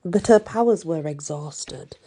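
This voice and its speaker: Woman doing asmr bedtime story, really slow n hushed, husky low-ish voice, draws words out. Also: lively intonation. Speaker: storyteller reading a tale aloud.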